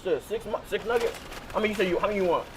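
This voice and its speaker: Young guy in the car cracking jokes quick, high bouncy voice. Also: background noise. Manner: fast, slangy, repeats questions. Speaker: passenger in the challenge. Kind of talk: casual banter. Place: inside a car.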